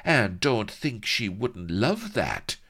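A man voicing a haughty, jealous high-bred English lady who scolds indignantly. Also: expressive, unhurried, creaky, fairly bright tone.

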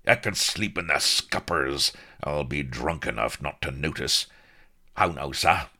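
A man's voice: rumbling low, unhurried, sharp tone, full character voice. A man voicing a blustering, grizzled old sea captain who bellows oaths. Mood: roaring. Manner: bellowing, oath-laden, gruff.

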